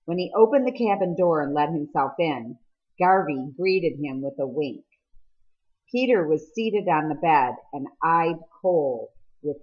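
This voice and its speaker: Low-voiced woman reading a story, slightly dull sound. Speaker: audiobook reader. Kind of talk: scripted narration of a novel.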